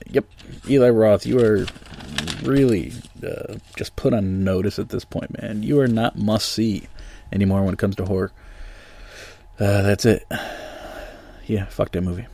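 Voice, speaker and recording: A man's voice: on the low side, gravelly, mellow, dry recording. A man with a sniffly, nasal voice from allergies, giving a blunt, profane, rambling movie review full of ums and uhs. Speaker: podcast host reviewing movies.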